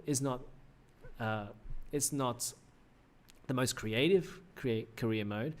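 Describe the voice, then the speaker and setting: A man in his thirties hosting a casual gaming livestream, rambling with many fillers and restarts, his voice a little worn. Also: mid-range voice. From Australia. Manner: rambling, self-correcting, frequent "uh" fillers. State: slightly losing his voice. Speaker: livestream host.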